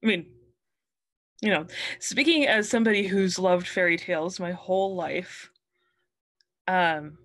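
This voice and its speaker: A woman's voice: on the low side, unhurried, pauses often, fairly bright tone. A woman in her thirties speaking as a guest author, chatting casually in a hesitant, thoughtful way over a video call. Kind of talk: casual chat. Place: room at home, video call. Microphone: wired earbuds headset.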